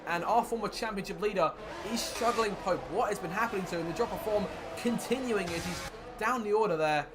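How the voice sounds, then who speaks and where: Male sim racing play-by-play caller, quick and raised in pitch, getting hoarse, noisy recording. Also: over music. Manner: excited play-by-play, rising with the action. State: losing his voice. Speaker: lead commentator.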